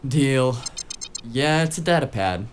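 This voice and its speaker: An actor playing a cheeky, eager, tech-savvy sidekick in a noir audio drama, with breezy, casual banter. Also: male voice, mid-range voice, animated, slow, a little hiss or hum. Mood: teasing.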